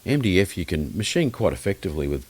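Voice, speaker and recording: A man with a very low, deep voice chatting as an interview guest. He speaks bluntly and with strong opinions, wandering through his explanations with a dry, joking edge. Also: very animated, quick pace, slightly rough. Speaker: guest loudspeaker designer and maker. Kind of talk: casual podcast interview.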